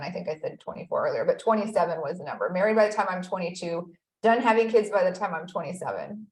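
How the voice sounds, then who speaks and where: A woman's voice: fairly low pitch, reverberant, low-quality recording. A woman in her thirties, a guest in a conversational interview, telling her story in an earnest, reflective way. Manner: earnest, reflective storytelling with frequent "you know". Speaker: guest, accountability expert.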